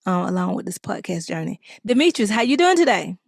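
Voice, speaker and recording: A woman's voice: medium pitch, sing-song, vocal fry, no echo, studio quality. A woman, co-hosting a podcast and giving chatty, rambling advice full of "you know"s. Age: 40s. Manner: chatty, drawn-out phrasing with many "you know"s. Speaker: podcast co-host. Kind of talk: casual advice chat, reading a listener letter.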